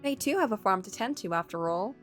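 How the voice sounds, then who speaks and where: A woman voicing a hardworking, modest young farm woman in a visual novel, matter-of-fact and gently instructive. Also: medium pitch, animated, fairly quick, natural voice. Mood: modest, wistful. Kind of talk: visual novel character reading. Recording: background music.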